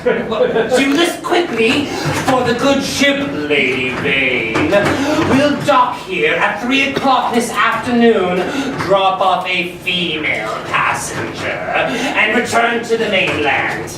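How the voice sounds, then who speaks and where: A man's voice: sits high, big pitch swings, exaggerated voice. Man playing a scheming butler, swooping theatrically. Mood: bossy scheming. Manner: theatrical, drawn-out, commanding. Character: bossy, scheming, menacing male butler servant. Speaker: actor in a staged reading. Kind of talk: campy live melodrama stage acting. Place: live venue with audience. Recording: very echoey hall, distant mic, loud noise.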